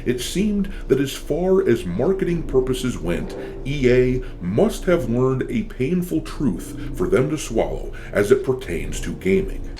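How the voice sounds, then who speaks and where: A man's voice: mid-range voice, big pitch swings, a little slow, few pauses, background noise. A man delivering scripted opinion commentary as a channel host, with a blunt, sardonic, profane manner.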